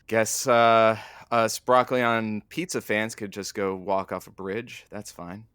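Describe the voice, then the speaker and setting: A man playing a wry, put-upon but fond radio station engineer in an audio drama, with a deadpan, sardonic manner. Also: on the low side, measured pace, clear, resonant tone. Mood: sulky, wry. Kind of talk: audio fiction acting, radio announcing.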